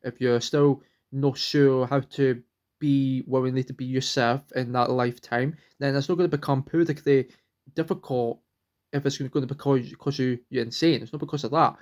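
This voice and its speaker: A man's voice: mid-range voice, steady tone, moderate pace, darkish tone. A young man, vlogging at home, rambling earnestly and restarting sentences as he searches for words. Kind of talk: rambling personal vlog.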